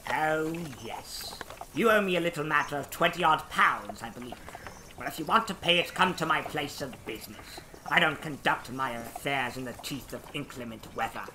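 A man's voice: on the high side, moderate pace, noisy background, close to an everyday voice. An actor playing a bitter, miserly old businessman in a radio drama, curt, scornful and sarcastic. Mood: cold, dismissive. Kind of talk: stage-style radio drama acting.

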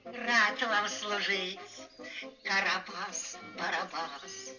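An actor voicing an oily, obsequious male leech seller, a scheming sidekick in a Soviet animated fairy-tale film. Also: medium pitch, drawn-out words. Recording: dull old film soundtrack, music behind.

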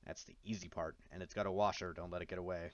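A man with a low voice talks through a do-it-yourself job in a casual voice-over. He drops in wry remarks at his own expense. The sound is dry and somewhat dull, as from a close, basic mic. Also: steady tone, quick pace, no room sound. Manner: wry, self-deprecating asides. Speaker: DIY how-to host.

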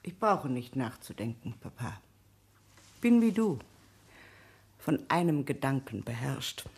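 An actress playing a middle-aged, solemn, blindly loyal wife in a radio drama, husky and often hushed. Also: female voice, rumbling low, very animated, unhurried, exaggerated voice. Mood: solemn, devoted. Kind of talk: German radio drama acting.

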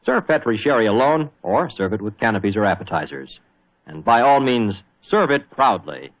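A man's voice: on the low side, big pitch swings, moderate pace. A man with an American accent announcing in 1940s radio style, with folksy, polished salesmanship. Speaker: radio announcer and sponsor pitchman. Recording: narrow, muffled old radio broadcast.

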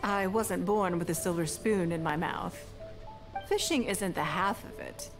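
An actress voicing a poised, wealthy female leader in a game, speaking in a measured, refined, self-assured way. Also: on the low side, music in the background. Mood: composed. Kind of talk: game character dialogue.

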